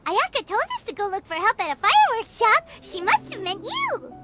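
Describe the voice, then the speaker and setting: A woman voicing a tiny, excitable fairy-like companion in a video game dub, chattering in quick exclamations. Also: extremely high pitch, loud music behind the voice, full character voice. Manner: refers to herself in the third person, quick exclamations.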